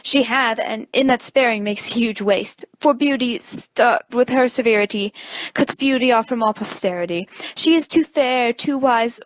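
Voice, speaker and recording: A woman's voice: sits high, dry recording. A woman performing a lovesick, melancholy young nobleman in an amateur Shakespeare reading, with plaintive, theatrical delivery.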